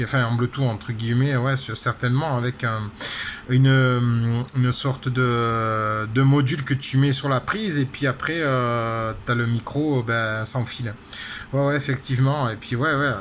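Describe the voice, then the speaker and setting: Man, casual radio chat, medium pitch, lots of tag words, noisy background. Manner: chatty, frequent tag words. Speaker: amateur radio operator. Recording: clear background noise.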